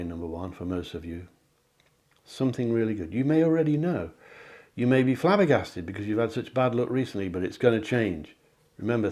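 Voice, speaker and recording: Chatty man giving a card reading. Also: mid-range voice. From England. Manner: chatty, self-correcting, gently encouraging. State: slightly hoarse, clearing throat. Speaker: psychic card reader. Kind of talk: tarot-style card reading to camera. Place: home near the sea.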